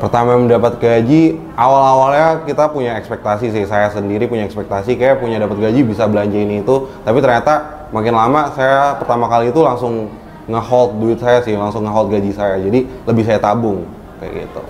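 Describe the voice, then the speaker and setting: A twenty-two-year-old man answering interview questions in a measured, earnest way. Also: medium pitch, steady tone, a little mellow, roomy sound, slight background noise.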